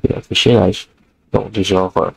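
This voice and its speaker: A man's voice: fairly low pitch, steady tone, normal speed, gravelly, slightly rough, no echo, low-quality recording. A man with the flu, giving a screencast coding lesson in a hesitant way, thinking aloud with many fillers while he types. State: has the flu. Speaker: programming tutor.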